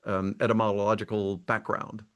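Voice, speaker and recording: A man's voice: lowish voice, level tone, normal speed, fairly bright tone. A middle-aged man hosting an interview, conversational and prone to stumbling into his questions. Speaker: podcast host. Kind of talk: casual interview.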